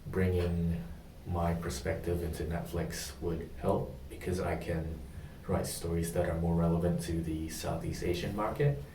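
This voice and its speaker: A young man from Indonesia answering questions in a practice job interview, pausing often with ums. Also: deep voice, level tone, reverberant, far from the mic, noisy background. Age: young adult. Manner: hesitant, many pauses and ums.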